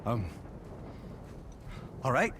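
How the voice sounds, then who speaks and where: A man playing a fussy, squeamish, easily wounded dwarf in a video game, prissy and stammering. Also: very slow. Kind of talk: video game character acting. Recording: faint background noise.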